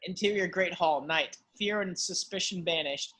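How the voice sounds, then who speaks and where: Male narrator, fairly high pitch, bright forceful tone, dramatic screenplay reading, stage directions. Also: moderate pace, slightly rough, rough recording.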